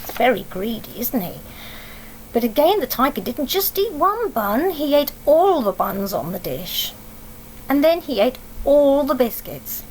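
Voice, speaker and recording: A woman's voice: a little high, darkish tone, slight background noise, low-quality recording. A woman with an English accent reading a storybook aloud as a teacher, expressively, with explaining asides and questions for young children.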